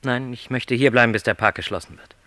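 A man's voice: mid-range voice, fast, pushed and bright, no echo, natural voice. An actor playing a lonely middle-aged travelling salesman in a literary radio play, speaking with courteous, reflective resignation. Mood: tentative.

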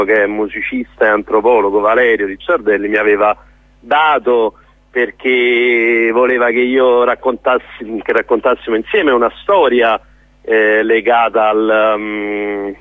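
A man interviewed by telephone, heard through a narrow, dry line. He talks earnestly as a theatre director, often pausing and rephrasing while he looks for the right word. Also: mid-range voice, dry recording. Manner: hesitant, searching for words, earnest. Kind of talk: spontaneous radio interview. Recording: narrow tinny phone line.

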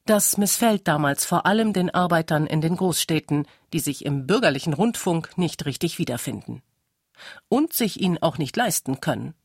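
A documentary narrator with a mid-pitched voice that has a husky grain. The delivery is scripted reading. The recording is dry, with no room sound. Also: dry recording.

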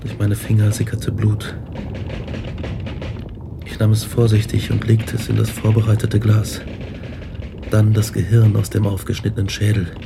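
An actor playing an obsessed young male scientist, grave and deliberate, in a German radio drama. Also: on the low side, monotone, vocal fry, noisy background. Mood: grave, confessional. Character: Victor Frankenstein, obsessed young male scientist recounting his horrors.

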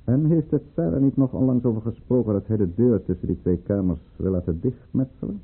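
An actor playing a scheming, high-ranking courtier in a radio play, with measured, formal, probing speech. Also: male voice, breathy edge. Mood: suave, pointed. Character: scheming, silky high-ranking male courtier conspiring against the tsar. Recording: muffled, narrow-band old radio recording.

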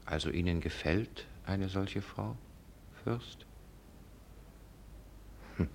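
Suspicious young secretary: very low, slow, guarded and probing, vintage radio drama with faint rumble. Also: male voice, creaky, full character voice. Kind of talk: 1950s German radio drama acting.

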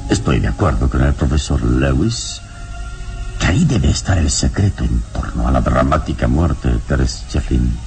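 Very deep-voiced wise radio hero. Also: male voice, expressive, a little mellow, faint music behind. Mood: pensive. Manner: measured, sententious, philosophical. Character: calm, wise heroic adventurer, adult man, philosophical mentor. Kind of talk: classic radio drama acting.

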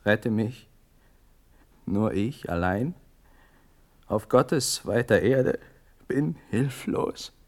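A man's voice: on the low side, animated, very slow, pauses often, heavily acted. An actor playing a desperate young prince begging for his life, in fervent, declamatory classical radio-drama verse. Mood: desperate pleading.

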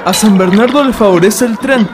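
An actor playing a hearty military conspirator in a historical radio drama. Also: male voice, high-pitched, moderate pace, over music, crowd noise, lightly acted. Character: hearty military conspirator praising his town.

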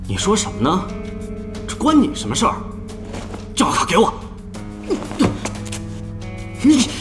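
A man's voice: sits high, wildly swooping pitch, fairly quick. A man playing an arrogant young esports star in an audio drama, drawling with theatrical, taunting mockery. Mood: irritated. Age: young adult. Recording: background music under the voice.

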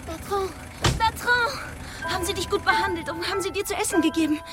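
A doting, overwrought wife with an extremely high voice. She gushes emotionally in radio drama style, over clearly audible music. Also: brisk. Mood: overjoyed, fretful. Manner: gushing, repeating endearments. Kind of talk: radio crime drama acting.

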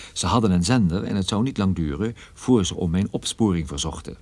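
An actor playing a wry, brisk private detective on the run in a radio drama, telling and acting the story with dry understatement. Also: male voice, low-pitched, a little mellow. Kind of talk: radio drama narration and dialogue.

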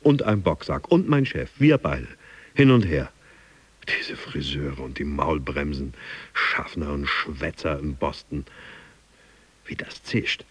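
A man's voice: a bass voice, lively intonation, slow pace, slightly rough, no room sound, full character voice. A man playing a stressed businessman in an audio drama, brooding aloud in fragmented confessional monologue. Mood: agitated fantasizing. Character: stressed sales-rep businessman, monologuing gym client.

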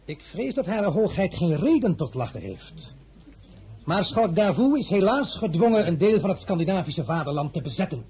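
An actor playing an imperious, boastful French emperor in a historical radio drama, pompous and declamatory, by turns mocking and threatening. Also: male voice, fairly high pitch, a little mellow, slightly rough, full character voice, rough recording. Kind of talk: Dutch-language historical radio drama acting.

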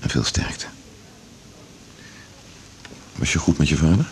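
A man's voice: animated, takes it slowly, a little mellow, full character voice. An actor playing a blunt, familiar older man who hands out worldly advice in a 1980s radio drama. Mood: concerned, advising. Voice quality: deep gravelly voice.

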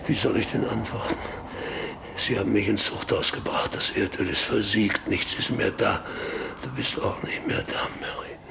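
An actor playing a broken, guilt-ridden rancher in a radio play, halting and weary, sinking into whispers. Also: male voice, fairly low pitch, steady tone, vocal fry, background noise. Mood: cornered, distressed. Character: broken, guilt-ridden male rancher facing his end. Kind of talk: German radio play acting.

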